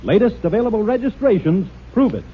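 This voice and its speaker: A man announcing a 1950 radio commercial with a punchy sales delivery and a raspy voice. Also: high-pitched, expressive, a little fast, music in the background. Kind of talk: 1950 radio advertisement read. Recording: tinny, narrow old radio broadcast.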